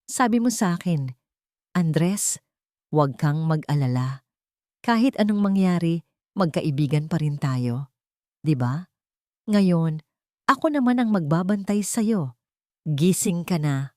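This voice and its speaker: A devoted young Filipino man , acted out by a woman narrator pleads tenderly with his friend. He sounds anxious and gentle, in a story reading with a dry, close sound. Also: sits low, no room sound. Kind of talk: storybook dramatic dialogue.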